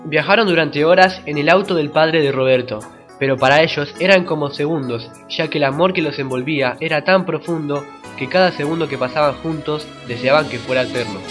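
A man's voice: a little high, brisk, hardly any pauses. Florid male narrator over music. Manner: florid, melodramatic. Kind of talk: scripted mock-romantic narration.

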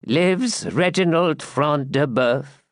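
A man voicing an unearthly spirit-like voice, broken and shrill, drawing out slow accusations. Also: no room sound, clean studio sound. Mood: mocking.

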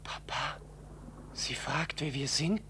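A woman's voice: sits low, lively intonation, takes it slowly, pushed and bright, a little hiss or hum, exaggerated voice. A woman playing a worried child of a holidaying family in a German radio play. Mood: puzzled, subdued.

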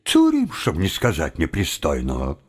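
An actor playing a wily, evasive young philosopher student in a theatrical audio drama, with a folksy, deferential, drawling delivery. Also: male voice, a bass voice, huge pitch swings, moderate pace, slightly rough, full character voice. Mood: evasive, respectful.

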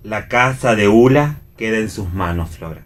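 A man with an Argentine accent playing a gentle, knowing confidant in a radio theatre reading. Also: fairly low pitch, expressive, moderate pace, natural voice.

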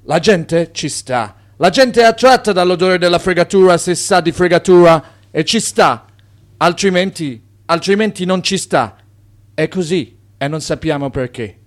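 An actor in a radio drama playing a wry, insistent official, with theatrical delivery. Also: male voice, high voice, normal speed, natural voice.

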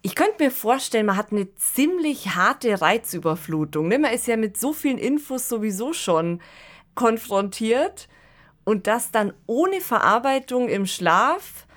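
A woman's voice: fairly high pitch, very animated, unhurried. A woman co-hosting a podcast, talking casually and analytically, often adding little tag questions. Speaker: podcast co-host. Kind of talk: casual discussion.

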